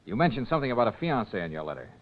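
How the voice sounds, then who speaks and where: An actor playing a confident, pragmatic private detective in a 1940s radio drama, brisk and wry. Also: male voice, medium pitch, very animated, close to an everyday voice. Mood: businesslike. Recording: dull 1940s radio recording.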